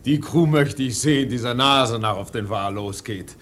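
An actor playing a seasoned, unruffled whaling mate in a German radio drama, speaking in a dry, matter-of-fact way. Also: male voice, medium pitch, normal speed, a bit bright, a little hiss or hum, lightly acted. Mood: stoic, dry.

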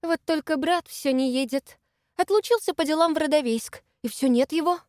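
A woman voicing a bossy, fussy young lady hostess who talks in a high, gushing, overly lively way. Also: normal speed, no room sound, clean studio sound, lightly acted. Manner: shrill, gushing, exaggerated liveliness.

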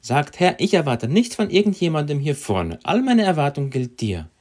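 A man's voice: on the high side, sing-song, normal speed, darkish tone. A man interpreting a sermon live, giving each phrase right after the preacher.

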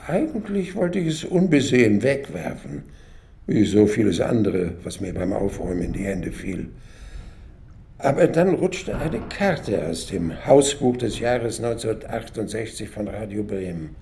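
An actor playing an old former radio writer, musing haltingly and wryly in a reflective radio play monologue. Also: male voice, on the low side, big pitch swings, dark tone, a little hiss or hum. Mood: wistful, musing. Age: older. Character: old former radio writer sorting his papers, wistful and wry.

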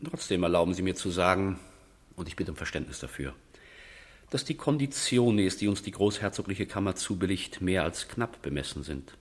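Deep, pompous, pedantic envoy. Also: male voice, expressive, normal speed. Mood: formal, condescending. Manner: pompous, fussy, condescending. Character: pedantic, pompous male envoy, stiff lover of order. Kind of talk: period radio drama acting.

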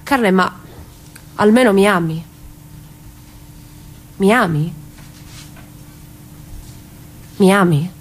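A woman's voice: mid-range voice, slow, breathy edge, low-quality recording. A woman playing a dreamy, tender young woman in an intimate, naturalistic radio drama reading. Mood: anxious, pleading. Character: Lea, dreamy, tender young southern Italian woman, sister and mother.

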